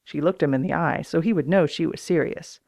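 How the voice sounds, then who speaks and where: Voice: medium pitch, a little fast, gravelly, darkish tone. A reader giving plain scripted narration of a children's storybook, in a slightly dull, lo-fi recording. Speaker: audiobook reader.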